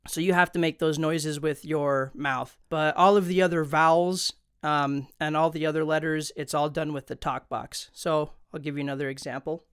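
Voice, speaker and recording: A man's voice: on the high side, steady tone, normal speed, few pauses, a bit bright, clear, resonant tone, no room sound. A man hosting an instructional video, explaining things in an informal, conversational way into a close studio microphone. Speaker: tutorial host. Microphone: close studio condenser microphone.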